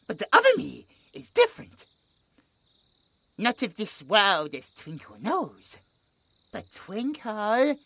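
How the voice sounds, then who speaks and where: A British man voicing a gentle, childlike possessed male creature who talks about himself in the third person with broken grammar. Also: sits high, no echo, exaggerated voice. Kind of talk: horror audio roleplay.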